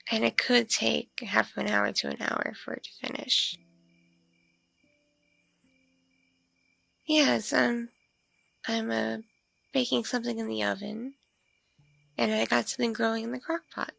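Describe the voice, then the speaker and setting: A woman playing a mature, flirtatious, spacey female vampire in an ASMR roleplay, speaking softly and hesitantly, as if in a one-sided intimate conversation. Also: fairly high pitch, fairly even intonation, gravelly, no echo, heavily acted. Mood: friendly, chatty. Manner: hesitant, murmuring, lingering pauses with ums and mms. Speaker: roleplay voice performer.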